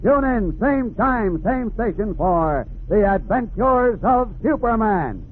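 Old time radio serial narrator, building suspense, measured and dramatic, thin phone-like sound with a bit of hiss. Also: male voice, high voice, very animated, exaggerated voice. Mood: suspenseful. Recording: narrow-band vintage radio, faint noise.

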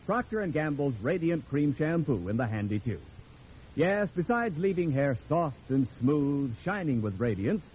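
A man's voice: a little high, darkish tone, a little hiss or hum. A man announcing in 1940s radio style, with a polished, carefully enunciated sales delivery. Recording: dull vintage radio recording.

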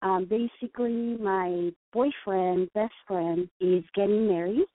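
A woman's voice: mid-range voice, fairly even intonation, slow pace, no echo. Brief: woman in her 30s, Mexican accent, hesitant caller, tinny phone line. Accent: Mexican Spanish. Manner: hesitant, full of likes and ums. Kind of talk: phoning in for advice.

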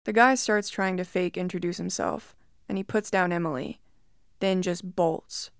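Female narrator, mid-pitch, smooth and clear, calm creepy-story reading, dry close mic. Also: moderate pace, dry recording.

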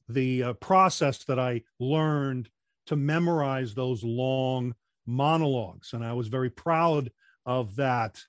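A man speaks in a measured, explanatory way, with a voice that carries well. He often restarts his sentences as he works through an idea. The sound is close and dry, with no room echo. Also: mid-range voice, a little mellow. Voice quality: projecting voice. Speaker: guest, corporate trainer. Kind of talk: conversational interview.

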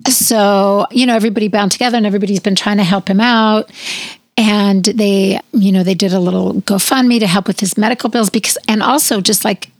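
A middle-aged woman with a raspy, hoarse voice, co-hosting a podcast and telling a long story in a chatty way. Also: mid-range voice, steady tone, fairly quick, no echo, studio quality. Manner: chatty storyteller, fillers like "like" and "you know". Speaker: podcast co-host. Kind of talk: casual chat.

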